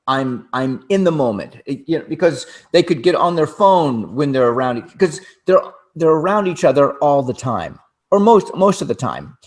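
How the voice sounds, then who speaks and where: Older man, halting and thoughtful. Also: a little high, a little mellow. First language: English. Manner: halting, many restarts and repeated words. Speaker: co-host. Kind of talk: casual chat.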